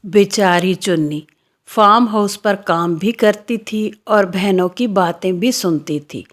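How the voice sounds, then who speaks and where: A woman's voice: mid-range voice, slow pace. A woman narrating a scripted children's story.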